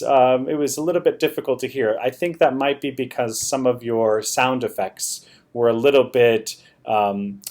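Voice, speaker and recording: A man's voice: mid-range voice, darkish tone. A man who is a native English speaker, giving unscripted, encouraging feedback as a competition judge in a hesitant, rambling way.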